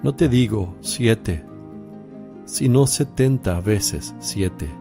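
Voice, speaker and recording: An actor playing a calm, solemn male religious teacher who speaks in deliberate phrases, in a radio drama. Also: lowish voice, over music, heavily acted. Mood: solemn, instructing. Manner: slow, deliberate, preaching phrasing.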